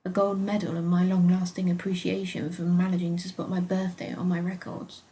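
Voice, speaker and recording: A woman's voice: lowish voice, completely flat delivery, a little fast, dark tone, lightly acted. A British woman voicing a petulant, sarcastic young female hospital patient in a dramatic first-person story reading, exasperated and huffy. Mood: sarcastic, indignant.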